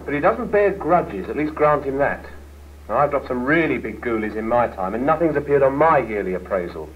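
An actor playing an easygoing, unambitious British office colleague with a wry, placating manner in a 1970s training film. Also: male voice, medium pitch, sing-song, brisk, close to an everyday voice. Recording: tinny, noisy 1970s film soundtrack.

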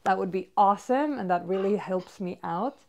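A woman's voice: medium pitch, normal speed, a little mellow. A woman in her thirties with a Swedish accent, a fitness vlogger chatting casually and upbeat to a camera in a bedroom. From Sweden. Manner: chatty and upbeat, many fillers. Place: bedroom with toys, indoors. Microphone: far camera.

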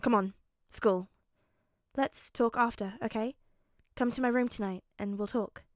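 A woman voicing a kind, earnest teenage boy with a soft, lightly teasing way of talking, in a story reading. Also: a little high, fairly even intonation, unhurried, dry recording, clean studio sound, close to an everyday voice. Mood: fond, teasing. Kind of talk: acted audiobook-style fan fiction reading.

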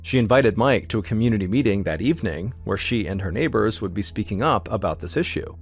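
A man hosting a podcast, telling a true story in steady, suspenseful scripted narration. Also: on the low side, fast talker, husky, soft background music.

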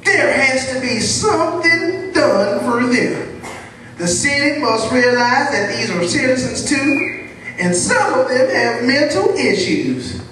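An actor playing an earnest television news reporter, delivering a formal, measured report in a stage drama. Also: high voice, very animated, fairly bright tone, exaggerated voice. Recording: echoey hall from far off, faint noise.

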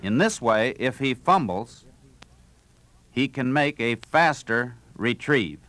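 A man as a matter-of-fact baseball instructor, explaining in a deliberate instructional style. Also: mid-range voice, lively intonation, fairly bright tone. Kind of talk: instructional talk.

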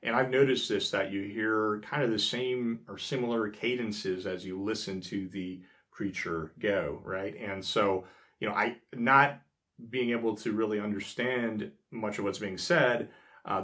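A man's voice: fairly low pitch, fairly even intonation, normal speed, a bit bright. A man in his thirties hosting a casual explainer, talking to camera in a small room with many fillers and restarts. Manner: conversational, many fillers and restarts, gestures with hands. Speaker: host. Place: small indoor room by a frosted window.

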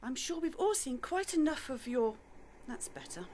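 A woman's voice: high-pitched, lively intonation, normal speed, darkish tone, a little hiss or hum. A woman playing a weary, exasperated, sarcastic wife in a radio play. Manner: exasperated, sardonic.

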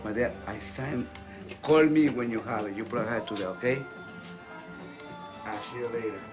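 A man's voice: mid-range voice, fairly even intonation, moderate pace, music in the background. A middle-aged man from Ecuador, making friendly small talk as a hairdresser with a client in a small salon. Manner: friendly small talk, often agreeing and repeating. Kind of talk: casual chat. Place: small hair salon indoors.

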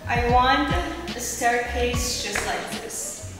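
Casting: bubbly young Filipina vlogger, high voice, unhurried, echoey rooms. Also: female voice, fairly even intonation. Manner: bubbly, chatty tour guide. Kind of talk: casual house-tour vlog narration. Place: villa rooms and poolside. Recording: echoey rooms with loud music behind.

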